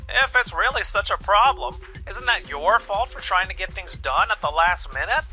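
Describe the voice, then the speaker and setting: A man's voice: high-pitched, expressive, normal speed, pushed and bright, faint music behind, heavily acted. A man voicing an arrogant, careless male manager in a comic dub, blustering and dismissive. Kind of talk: scripted manga dub voice acting.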